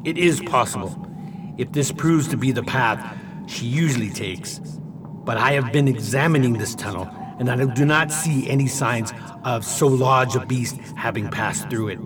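A man playing a calm, courteous traveler who speaks formally and thoughtfully in a radio play. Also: mid-range voice, normal speed, background noise, close to an everyday voice. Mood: reasoning. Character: calm, reasoning, courteous adult male traveling companion. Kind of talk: radio theater acting.

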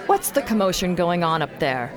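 A woman's voice: on the low side, big pitch swings, moderate pace. A woman playing a tough, confident space raider captain in a radio drama, cool, direct and challenging. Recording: busy crowd chatter behind.

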